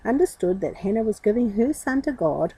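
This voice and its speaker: A woman's voice: mid-range voice, animated, fairly quick, dark tone, slightly rough, rough recording. A woman storyteller reading a children's story expressively, with informal asides.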